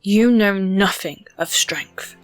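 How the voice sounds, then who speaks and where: Lady playing noble amazon warrior princess, firm n solemn, medium pitch, audio drama w soft music under it. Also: slow, clean tone, close to an everyday voice. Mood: defiant.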